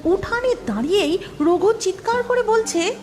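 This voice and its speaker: A woman's voice: extremely high pitch, animated, measured pace, noisy background. A woman narrating a scripted cartoon story.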